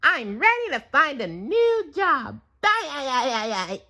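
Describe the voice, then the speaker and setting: A man voicing an excitable, earnest cartoon sponge fry cook in a squeaky, exaggerated storybook voice. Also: a little slow, heavily acted. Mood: determined.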